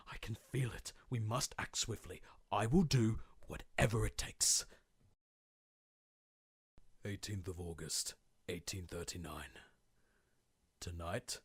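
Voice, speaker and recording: A man's voice: a little high, sharp tone, no echo, studio quality, full character voice. A man playing a tormented, guilt-ridden diarist sinking into madness, in an intense, formal horror-game monologue. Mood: fearful, tense. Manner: theatrical, formal old-fashioned diction, intense. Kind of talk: dramatic monologue, video game horror fandub.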